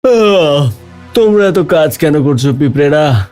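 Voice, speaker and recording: A man voicing a lazy, carefree, mocking grasshopper in a children's cartoon story, with a drawn-out, theatrical delivery. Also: on the high side, expressive, darkish tone, faint music behind. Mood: carefree, teasing.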